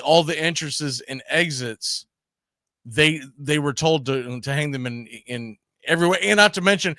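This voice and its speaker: He is a man hosting a casual, argumentative sports discussion. His voice is bright and sharp, pitched a little high, in a very dry recording. He talks emphatically, circling back to repeat words as he makes his case. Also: no echo. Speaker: sports talk host.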